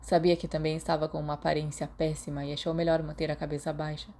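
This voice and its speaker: A woman with a Brazilian Portuguese accent narrating a fan fiction audiobook in a steady reading. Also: sits low, fast, a little hiss or hum. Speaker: podfic narrator.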